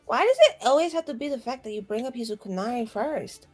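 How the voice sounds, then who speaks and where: A woman with a high-pitched voice improvises casual banter as a player in a tabletop roleplaying game. Her manner is playful and argumentative, and music plays underneath her talk. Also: expressive, fast talker, husky. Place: online group stream.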